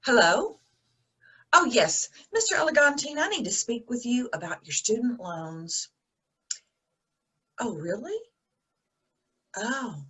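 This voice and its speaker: Casting: actress as dithering woman president in stage farce, high and bright, slow and scatterbrained. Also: big pitch swings, slightly rough, heavily acted. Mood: hesitant. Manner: dithering, halting. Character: flustered, scatterbrained woman president of community theater board. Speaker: actor in online comedy. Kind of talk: farcical comedy acting.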